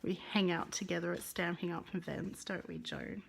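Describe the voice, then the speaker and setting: A woman's voice: fairly low pitch, steady tone, moderate pace, vocal fry. Chatty woman with a Russian accent. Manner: chatty, greets viewers, rolls her R's. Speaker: card-making teacher. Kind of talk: live-streamed craft lesson.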